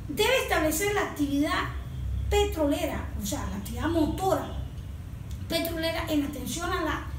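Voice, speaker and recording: A woman with a Venezuelan accent speaks in a high voice, explaining things point by point with emphasis. She sounds like a consultant laying out a proposal. The recording is very reverberant and picked up from a distance, with clear background noise. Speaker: environmental consultant, interview guest.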